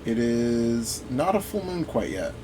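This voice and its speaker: A man's voice: mid-range voice, big pitch swings, measured pace, background noise. A man giving casual gameplay commentary, rambling and thinking aloud as he plays. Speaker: gaming video host.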